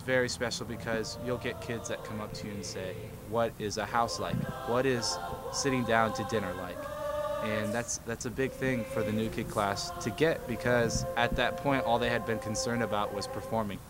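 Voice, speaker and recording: A man's voice: fairly low pitch, steady tone, normal speed, loud music behind the voice. A young man, a member of the group, explaining the program steadily in a documentary interview.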